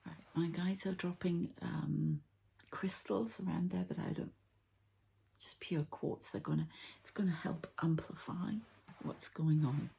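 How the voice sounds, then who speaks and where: Slow, whispering woman with creaky low voice. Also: steady tone. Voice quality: whispery, breathy soft voice. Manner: unhurried, halting, thinking aloud while handling objects. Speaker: host, energy healer and card reader. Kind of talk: ASMR, softly spoken ritual healing session.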